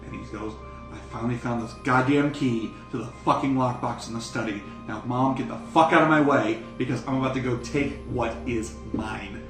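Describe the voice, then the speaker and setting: A man's voice: medium pitch, moderate pace. A man improvising narration as a tabletop game master, speaking casually and swearing freely. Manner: casual, profane. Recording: roomy, distant mics, music underneath.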